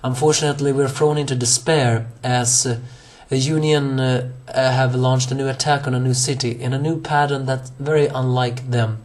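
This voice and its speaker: A hoarse young man reviewing an anime episode on his own, rambling with many fillers, restarts and self-corrections. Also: mid-range voice, steady tone, normal speed, hardly any pauses, darkish tone.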